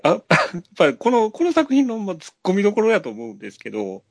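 A man's voice: sits high, huge pitch swings, fast talker, gravelly, darkish tone, no room sound. A man co-hosting a podcast, chatting casually about films. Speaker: podcast co-host. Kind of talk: casual film discussion.

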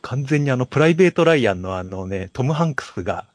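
A man's voice: medium pitch, lively intonation, fast talker, no echo, clean studio sound. A man co-hosting a casual film chat, musing over scenes and putting questions to his partner. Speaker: podcast co-host.

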